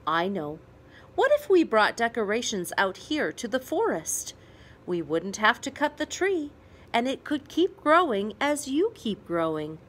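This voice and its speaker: A woman voicing a kind, thoughtful father in a storybook, speaking gently and expressively. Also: on the high side, big pitch swings, measured pace, full character voice. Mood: thoughtful, encouraging. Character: Peter's father, kind, thoughtful, amused man. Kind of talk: storybook read-aloud character voice.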